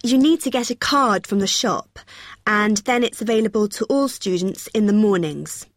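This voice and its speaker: A friendly young female student helper, played by a woman explains things patiently in an everyday listening-exercise dialogue. Her voice sits at a middle pitch, recorded very dry. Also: moderate pace, no room sound, natural voice. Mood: friendly, helpful. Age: young adult. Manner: helpful, explanatory.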